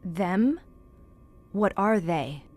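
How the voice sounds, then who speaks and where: A woman's voice: mid-range voice, expressive, very slow, a little mellow, soft background music. An actress playing a curious, polite young woman writer, speaking with careful enunciation in an old-time radio horror drama. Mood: puzzled, probing.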